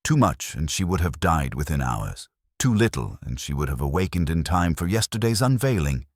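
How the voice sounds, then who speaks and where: A man's voice: very deep voice, sing-song, moderate pace, no echo, studio quality, full character voice. A man voicing a grave middle-aged country doctor who speaks in a grim, clinical way.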